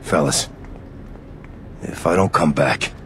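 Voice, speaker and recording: A man's voice: rumbling low, slow, big-room sound, slight background noise. A man voicing a brooding, tough mob boss with a New York accent in a video game, terse and streetwise. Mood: grim, resolute. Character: brooding tough male mob boss, haunted by lost love. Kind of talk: video game dialogue and monologue.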